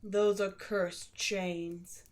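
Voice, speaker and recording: A woman playing an imperious, seductive demon queen in a roleplay audio drama, slow and theatrical, often slipping into a breathy whisper. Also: mid-range voice, little pitch movement. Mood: gloating, possessive.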